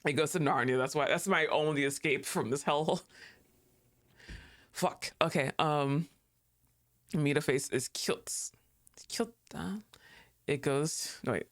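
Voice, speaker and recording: A man's voice: on the high side, a little slow, pauses often, a bit bright. A man streaming a horror game live, chatting casually, cursing freely and talking back to the characters on screen. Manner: casual, joking, swears freely. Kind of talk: live gameplay commentary.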